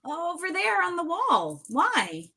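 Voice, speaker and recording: A woman's voice: very high-pitched, wildly swooping pitch, takes it slowly, vocal fry, bright, forceful tone, heavily acted. A woman voicing an earnest, caring young girl with a reassuring manner, in a live cartoon script reading. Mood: concerned.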